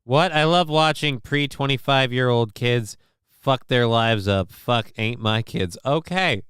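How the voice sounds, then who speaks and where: A man's voice: mid-range voice, a bit bright, smooth, no echo, studio quality. A man from Minnesota hosting a casual live show, rambling and swearing while he answers the chat, with lots of restarts and fillers.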